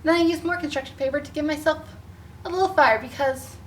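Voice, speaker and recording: A woman leading a storytime for preschoolers, expressive, explaining things in simple words for young children. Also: high voice, a little fast. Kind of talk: picture-book read-aloud and craft talk for preschoolers. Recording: distant mic with faint background noise.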